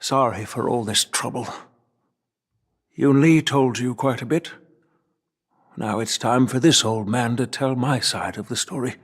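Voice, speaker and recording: An actor playing a wise, dignified old master swordsmith in a video game, talking in a measured, courteous, reflective way. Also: male voice, medium pitch, breathy edge. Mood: courteous, apologetic. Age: older. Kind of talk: dramatic video game voice acting.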